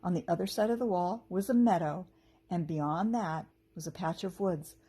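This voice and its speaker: A woman teacher reading a children's story aloud with expressive narration and a slightly husky voice. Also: fairly low pitch, moderate pace.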